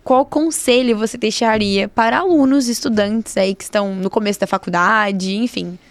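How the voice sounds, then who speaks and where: A woman's voice: mid-range voice, moderate pace. A young woman with a Brazilian Portuguese accent, interviewing casually as a podcast host and often chiming in agreeably.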